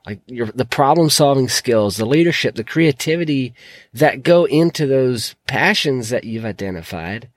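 A man hosting a solo podcast, his voice croaky from a sore throat, talking in an earnest, encouraging way. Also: mid-range voice, animated, normal speed, no room sound. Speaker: podcast host.

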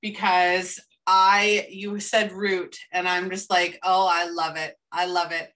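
A woman's voice: on the low side, flat delivery, slow pace, fairly bright tone, recorded from a distance. A Canadian woman co-hosting a casual podcast chat, talking with enthusiasm and plenty of restarts. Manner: enthusiastic, rambling, frequent restarts. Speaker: podcast co-host.

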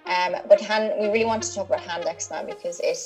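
A woman's voice: fairly low pitch, quick pace, rough recording. A woman co-hosting a podcast, opening the episode in a chatty way with plenty of ums. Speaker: podcast co-host. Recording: music playing underneath.

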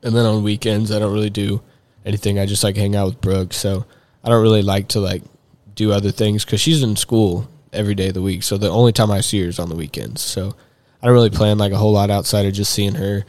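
A young man with a drawl talks loosely and at length, leaning on fillers and restarting his sentences. His voice sits a little low, and it is recorded close and dry with no room sound. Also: steady tone, fast, few pauses, a little mellow, no room sound. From Texas. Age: young adult. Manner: rambling, many fillers and restarts. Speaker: guest. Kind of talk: casual chat.

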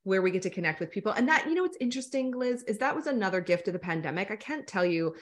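A woman with an American accent hosts a podcast interview. She talks in a chatty, encouraging way and keeps affirming her guest. Her voice sits at a middle pitch. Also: expressive, quick pace. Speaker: podcast host.

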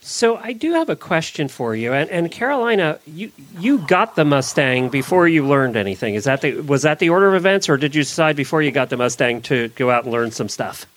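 A man hosting a casual interview, asking questions in a halting way and often rephrasing them. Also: on the high side, sing-song, fairly bright tone. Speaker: interview host.

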